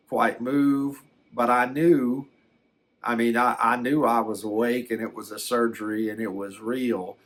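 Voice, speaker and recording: A middle-aged or older man recounting a personal experience off the cuff, reflective and halting as he searches for words. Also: on the low side, fairly bright tone. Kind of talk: unscripted personal recollection.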